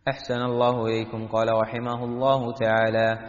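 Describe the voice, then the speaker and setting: A man's voice: mid-range voice, flat delivery. Echoey male chanted text recitation. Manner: measured, sing-song reading. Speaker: student reader reciting the text to a teacher. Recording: echoey, dull hall recording.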